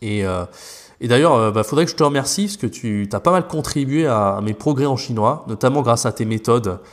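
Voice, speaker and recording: French guy on a podcast, middle pitch, lots of euh and restarts. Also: lively intonation, fairly quick. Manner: rambling, many fillers and restarts. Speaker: French teacher in China, guest. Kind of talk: casual podcast interview.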